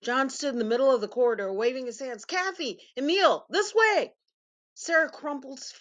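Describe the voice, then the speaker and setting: A woman giving an earnest, suspenseful first-person narration of a dramatic story. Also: a little high.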